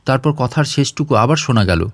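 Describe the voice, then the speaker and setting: A man narrates a story in a dramatic audiobook style, at a middling pitch. The sound is dry and close, with very little room around the voice. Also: expressive, fairly quick, dry recording.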